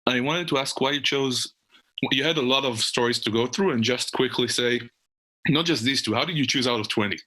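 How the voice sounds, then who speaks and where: A man's voice: medium pitch, fairly quick, sharp tone. A man speaking casually as the host of a live show.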